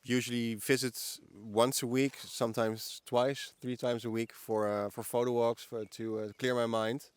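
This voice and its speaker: A man in his early forties speaks with a Dutch accent as an interview guest. His voice is smooth, clear and medium in pitch. The recording is dry, made outdoors in a park, and his answers are casual and conversational. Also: little pitch movement, a little slow, hardly any pauses, no room sound. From the Netherlands. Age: early 40s. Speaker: podcast guest.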